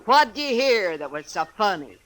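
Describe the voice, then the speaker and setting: A woman's voice: mid-range voice, sing-song, normal speed, poor audio. An actress playing a big, tough, ornery frontier woman in a 1950s radio western, with an American accent and a blunt, domineering manner. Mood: menacing. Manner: loud, domineering, blunt, rustic speech.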